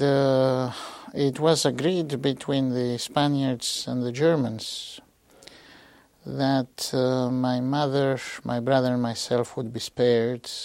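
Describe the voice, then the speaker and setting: A man in his sixties from Greece, giving a halting oral history testimony with many pauses and fillers. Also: medium pitch, level tone, a little mellow, dry recording. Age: 60s. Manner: slow, hesitant, with frequent pauses and fillers. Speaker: interviewee.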